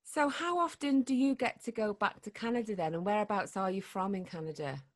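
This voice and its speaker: A woman's voice: mid-range voice, fairly quick. A woman hosting an interview show, friendly and upbeat, with light teasing. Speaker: podcast host.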